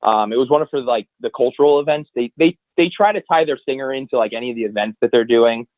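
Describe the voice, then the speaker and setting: A man's voice: medium pitch, fast talker, no echo. A young man talks loosely and at length, circling back with ums and restarts as he tells his story. His voice sits mid-range and comes through dull and muffled, a lo-fi remote feed. The sound is close and dry. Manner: rambling, many fillers and restarts. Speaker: guest, hockey anthem singer. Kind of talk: casual podcast chat.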